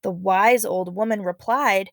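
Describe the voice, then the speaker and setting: A woman's voice: mid-range voice, measured pace, gravelly, no room sound. Woman teacher reading aloud, dry close sound. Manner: instructive, checks in with okay and all right. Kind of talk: expressive read-aloud with casual teaching asides.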